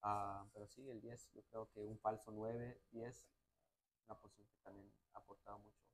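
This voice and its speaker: A young man from the United States, a footballer answering interview questions in a hotel lounge, halting and restarting often and slipping English words into his replies. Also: lowish voice, little pitch movement. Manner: halting, many restarts and "me entiendes" tags, mixes in English words. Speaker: national team footballer, interview guest. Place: hotel lounge sofa, indoors.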